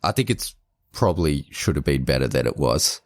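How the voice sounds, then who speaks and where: A man co-hosting a podcast in casual chat, measured and thinking aloud as he gives his views. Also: extremely low pitch, lively intonation, no echo, studio quality. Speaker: podcast co-host.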